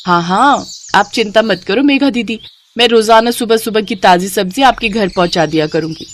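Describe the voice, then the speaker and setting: A woman's voice: mid-range voice, moderate pace, lightly acted. Lady doing a hardworking veggie seller woman, sweet natured and helpful, moral story style, bit noisy. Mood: respectful, helpful. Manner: respectful, reassuring, helpful. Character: kind, hardworking, eager woman vegetable seller. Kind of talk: Hindi moral story character dialogue.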